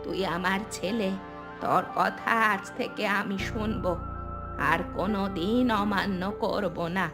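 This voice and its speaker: A woman's voice: medium pitch, unhurried, lightly acted. Woman acting as fearful village mother, cartoon, anxious, pleading, prominent background music. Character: worried, fearful village mother of a grown son.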